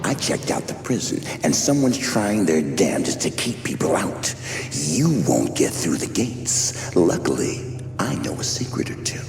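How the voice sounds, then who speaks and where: Gruff undead tough guy, slow and menacing, widely swinging pitch, breathy rasp, bitter game-villain edge. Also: very animated, heavily acted. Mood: gruff, sardonic. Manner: slow, menacing, sardonic, slangy. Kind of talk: dramatic video game voice acting. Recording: loud music behind the voice.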